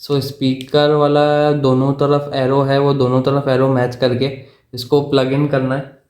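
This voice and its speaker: Male product reviewer, unhurried halting delivery, echoey room sound. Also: medium pitch, completely flat delivery, darkish tone. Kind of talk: casual unboxing and product explanation.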